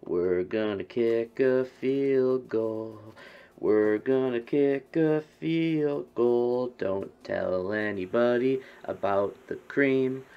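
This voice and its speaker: A man's voice: medium pitch, slow pace, clear, resonant tone. A young adult man giving casual, joking gameplay commentary, often repeating his phrases over and over. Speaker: game streamer. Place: bedroom, indoors. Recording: dull, lo-fi webcam stream audio.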